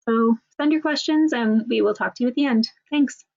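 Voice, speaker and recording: A woman's voice: fairly high pitch, moderate pace, creaky, darkish tone. A woman moderating a webinar, giving friendly instructions to attendees and introducing the speaker. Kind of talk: hosting a webinar. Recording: dull lo-fi webinar audio.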